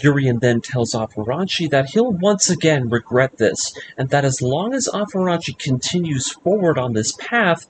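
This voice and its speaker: Animated male narrator with music behind. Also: mid-range voice, low-quality recording. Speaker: narrator of anime story breakdown series. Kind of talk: scripted narration, story recap. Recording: voice-over with music underneath.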